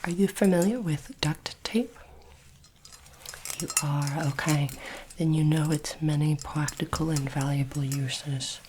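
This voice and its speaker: A woman playing a polite, curious alien interviewer in an ASMR roleplay, speaking formally and deliberately in a soft, breathy whisper. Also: low voice, background noise. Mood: formal, approving. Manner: slow, deliberate, formal phrasing.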